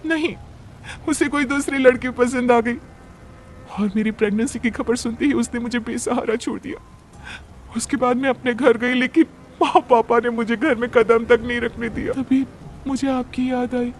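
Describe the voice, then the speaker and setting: A man's voice: very high-pitched, fairly even intonation, moderate pace, slight background noise, pitched above the performer's own voice. A man voicing a polite, plaintive young pregnant woman in a storybook tale. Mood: ashamed, plaintive. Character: soft-spoken young pregnant woman in trouble. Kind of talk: acted storybook dialogue.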